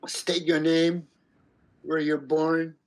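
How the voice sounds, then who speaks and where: Halting man asking interview questions. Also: on the high side, little pitch movement. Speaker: filmmaker, interviewer.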